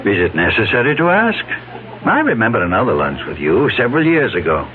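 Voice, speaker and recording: An actor playing a genial, commanding older company president in a radio drama, fatherly and emphatic, with deliberate hesitations. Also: lowish voice, wildly swooping pitch. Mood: proud, persuasive. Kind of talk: 1970s radio drama acting. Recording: tinny old radio recording, crowd behind.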